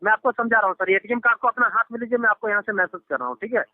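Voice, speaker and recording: A man's voice: high voice, very fast, no room sound. A man on a phone call in the role of bank support, talking in an insistent, repetitive, reassuring way and addressing the listener formally. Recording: lo-fi telephone line recording. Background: phone call audio.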